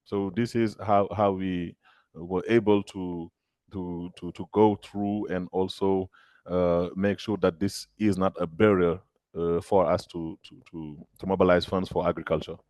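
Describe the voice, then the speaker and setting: Guy on a webinar call, hesitant, lots of uhs, dry low voice. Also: flat delivery, measured pace, darkish tone, no echo. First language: French. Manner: hesitant, many fillers and restarts. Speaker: agritech founder answering questions. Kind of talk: webinar Q&A answer. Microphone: video call.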